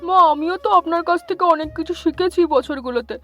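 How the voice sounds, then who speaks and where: Woman as a high-voiced, respectful daughter-in-law. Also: brisk, a bit bright, soft background music. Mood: grateful, reassuring. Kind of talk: cartoon story dialogue in Bengali.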